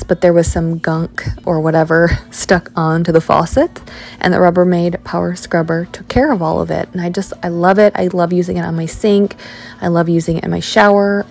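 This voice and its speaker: A woman's voice: on the low side, creaky. A woman in her thirties chatting casually about cleaning her home, with lots of ums and likes. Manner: hushed, quick talk while kids nap. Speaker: cleaning vlogger. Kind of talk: casual voice-over chat. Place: home, indoors. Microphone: handheld camera at arm's length.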